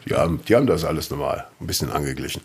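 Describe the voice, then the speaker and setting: A man co-hosting a podcast, chatting casually and telling rambling, animated stories with lots of restarts. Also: extremely low pitch, racing, breathy edge. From Eifel region, Germany. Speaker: podcast co-host. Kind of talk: casual chat.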